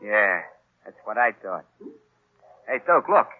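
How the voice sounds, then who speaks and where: An actor playing a gangster's dim, nervous henchman with husky, slangy speech in 1940s radio style. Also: fairly low pitch, very animated, slow, full character voice. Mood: eager. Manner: slangy, rough-spoken. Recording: tinny old radio recording.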